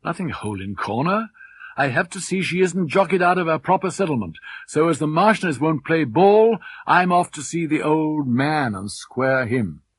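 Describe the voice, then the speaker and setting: A man's voice: a little high, lively intonation, normal speed, slightly rough, dry recording, pitched above the performer's own voice. A man reading a novel aloud as a brash, self-assured businessman suitor, blunt, boastful and slangy. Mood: brisk, determined.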